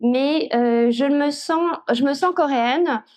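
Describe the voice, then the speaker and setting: A woman's voice: high-pitched, darkish tone. Reflective woman in her fifties. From Switzerland. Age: 50s. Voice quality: reflective, with frequent pauses. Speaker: author at a literary talk. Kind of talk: conversational answers at a public talk. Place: festival conference room.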